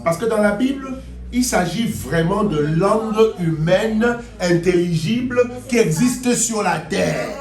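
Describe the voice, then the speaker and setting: A man preaching a sermon in a church and interpreting for another preacher, animated, repeating phrases and drawing answers from the congregation. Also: sits high, slow. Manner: animated, repeats phrases, mock gibberish for effect. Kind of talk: sermon with call and response. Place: church hall. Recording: echoey hall.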